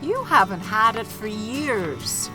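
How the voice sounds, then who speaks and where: Female actor as a tender wife, unhurried, reassuring, naturalistic drama, guitar music clearly underneath. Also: fairly high pitch, sing-song, exaggerated voice, rough recording. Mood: patient. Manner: gentle, reassuring. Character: patient, tender, reassuring wife of a forgetful man. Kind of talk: naturalistic short film drama acting.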